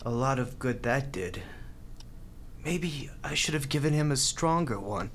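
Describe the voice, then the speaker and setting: An actor in a radio drama playing a grieving, bitter young hospital volunteer, tough but tender, speaking bluntly and haltingly. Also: male voice, medium pitch, animated, fairly bright tone, slight background noise. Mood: subdued, reflective. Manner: halting, emotional, blunt street talk.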